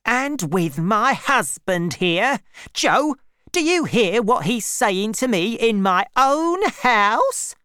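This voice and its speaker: A shrill, bossy wife, put on by a storybook reader, scolding in a high, loud voice that swoops up and down. Her words come slowly, and the sound is dry. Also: very animated, no room sound. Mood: angry, scolding. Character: shrill, bossy village wife, quick-tempered.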